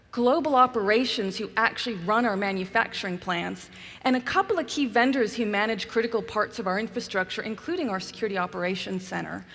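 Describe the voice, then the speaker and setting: A woman with a New Zealand accent speaks in a composed, businesslike manner, answering questions onstage. Her voice sits a little high for a woman. A long reverb from the large hall trails behind her. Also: fairly bright tone. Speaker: customer guest on keynote stage. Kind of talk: onstage interview. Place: large conference hall stage.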